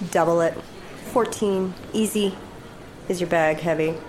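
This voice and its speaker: An actress playing an unhappy, needling wife in an audio drama, pointed and challenging in a naturalistic way. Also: female voice, on the low side, slow pace, slight background noise, rough recording.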